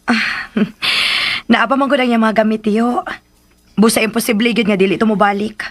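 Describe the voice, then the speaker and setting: Dutiful, gentle young housemaid for Cebuano-accented radio drama; high register, placating delivery. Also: female voice, fairly even intonation, normal speed. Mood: reassuring. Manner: patient, placating. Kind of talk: Philippine radio drama acting.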